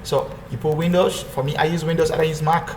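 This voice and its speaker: A man's voice: on the high side, flat delivery, fast. A man hosting a casual screen-share walkthrough, rambling and correcting himself as he repeats phrases. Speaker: online earning tutorial host. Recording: echoey room with faint background hum.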